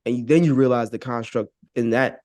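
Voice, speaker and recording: A man's voice: medium pitch, fairly even intonation, a little mellow. Reflective man with a mid-range voice. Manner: halting, reflective, repeats phrases. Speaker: podcast guest. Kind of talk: casual chat.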